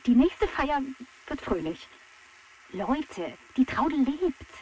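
Excitable, lilting hairdresser over radio. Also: female voice, high-pitched, takes it slowly, slight background noise, heavily acted, low-quality recording. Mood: emotional, hopeful. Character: excitable, sentimental female hairdresser. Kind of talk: children's radio play acting.

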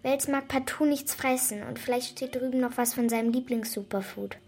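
A woman's voice: high-pitched, steady tone, normal speed, darkish tone. A woman playing a curious, impatient young girl in a children's radio drama, colloquial and sassy. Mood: brisk, teasing.

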